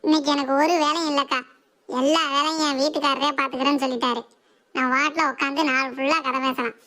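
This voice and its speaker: Woman doing a bossy cartoon housewife, really high voice, sarcastic and challenging. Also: steady tone, quick pace, sharp tone. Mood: breezy. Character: assertive, sharp-tongued Tamil housewife and mother. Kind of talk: comic cartoon dialogue.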